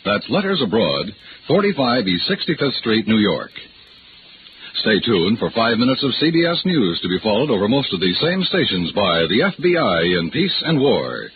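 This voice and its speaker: A man's voice: lowish voice, very animated, normal speed, noisy background. An American man working as a radio announcer, reading scripted copy in 1950s broadcast style. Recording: tinny old radio, music behind.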